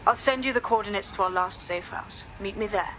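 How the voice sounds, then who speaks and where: A woman's voice: medium pitch, brisk, a bit bright, a little hiss or hum, natural voice, poor audio. A woman playing a serious, urgent resistance organiser in a video game. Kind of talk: video game character acting.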